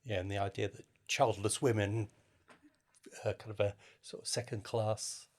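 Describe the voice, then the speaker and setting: Even-toned, lowish-voiced man interviewing. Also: moderate pace. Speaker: co-host, interviewer. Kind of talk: literary interview questioning.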